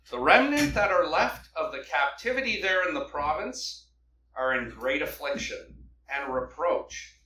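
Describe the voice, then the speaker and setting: A man from Canada preaching, praying and reading scripture aloud with a loud open-air preaching voice, standing back from the microphone. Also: on the high side, a little hiss or hum. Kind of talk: sermon with prayer and scripture reading. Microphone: microphone held back from the mouth. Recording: echoey hall, mic at a distance.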